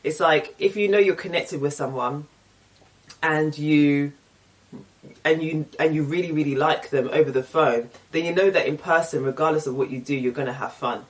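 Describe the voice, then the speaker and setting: A woman's voice: low voice, moderate pace, distant mic. A young woman with an English accent chatting casually and candidly, reading out and answering get-to-know-me questions. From England. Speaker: vlogger.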